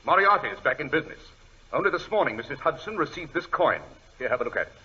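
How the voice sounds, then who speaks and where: Through a dull old radio recording comes an imperious British detective. He speaks fast in a sharp, forceful voice, brusque and impatient. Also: a little high, very animated, slightly rough, exaggerated voice. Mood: irritated. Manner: brusque, clipped, commanding. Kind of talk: 1940s radio acting.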